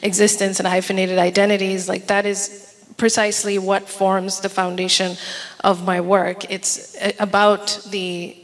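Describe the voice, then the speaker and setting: A woman author from Bangladesh speaks earnestly into a handheld mic, often repeating a word while she finds the next. Her pitch stays level and even. Her voice carries a long hall reverb. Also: measured pace. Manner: earnest, repeats words while searching for them. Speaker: author on a panel. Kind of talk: panel discussion answers. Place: large event hall with an audience.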